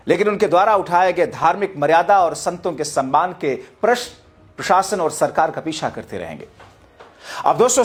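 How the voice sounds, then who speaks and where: A man presents political news in a dramatic, emphatic, rhetorical style, addressing his viewers directly. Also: fairly high pitch. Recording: music bed behind the voice. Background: background music.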